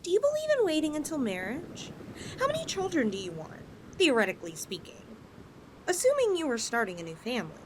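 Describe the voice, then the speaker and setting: Woman voicing a high, lilting, possessive lamia girl. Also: wildly swooping pitch, fairly quick, a little hiss or hum, rough recording. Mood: eager, playful. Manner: coaxing, playful, dramatic swings in delivery. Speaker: audio roleplay performer. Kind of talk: ASMR roleplay, acted monologue addressed to listener.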